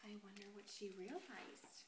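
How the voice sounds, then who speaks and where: A woman teacher reading a picture book aloud to young children in an expressive, deliberate storytelling way, pausing to address the class. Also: mid-range voice. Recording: echoey room with noticeable background noise.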